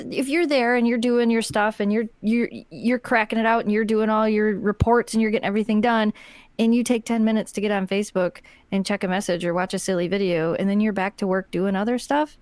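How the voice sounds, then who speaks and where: An American woman co-hosting a podcast in casual, rambling conversation, telling stories in an animated way. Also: a little high, no room sound. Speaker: podcast co-host. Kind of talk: casual chat, storytelling.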